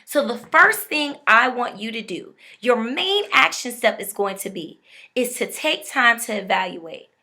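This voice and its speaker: A young woman with a bright, forceful, rather high voice gives a motivational talk and speaks straight to her viewers. She is emphatic and preachy, leaning on repeated phrases. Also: expressive, unhurried.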